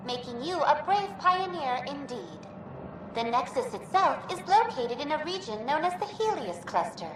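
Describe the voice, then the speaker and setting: A female virtual assistant in a sci-fi game, high and very lilting. She is upbeat and formal, like a scripted customer-service greeter, in an echoey space with clear music behind her. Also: distant mic, noisy background. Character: cheerful, helpful female virtual intelligence greeter program. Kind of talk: scripted automated welcome announcement.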